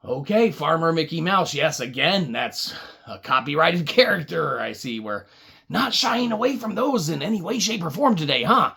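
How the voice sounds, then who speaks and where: A woman's voice: low-pitched, sing-song, normal speed, vocal fry, fairly bright tone, slightly rough. A woman improvising a comic children's puppet-show story, rambling along with wry asides.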